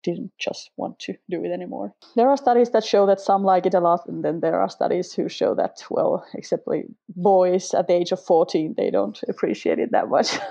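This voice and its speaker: Finnish-accented middle-aged woman guest, husky, gravelly low tone, relaxed and chatty with easy laughs. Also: moderate pace, muffled recording. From Finland. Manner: relaxed, chatty, laughs often, frequent restarts. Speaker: guest, sketchnoter and former language teacher. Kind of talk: casual interview conversation.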